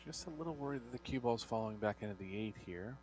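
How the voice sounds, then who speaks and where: A man's voice: mid-range voice, animated, quick pace, a little mellow. A man giving analytical co-commentary on a billiards match in clipped, matter-of-fact technical remarks. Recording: broadcast with background mains hum.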